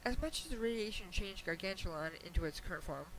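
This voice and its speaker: A man reading as a high-voiced, regal pony princess. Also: lively intonation, a bit bright, poor audio. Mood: formal, patient. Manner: formal, measured, wry understatement. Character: regal, patient pony princess, female, gently admonishing her student. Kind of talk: dramatic reading of a fan fiction letter. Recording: hissy amateur home recording.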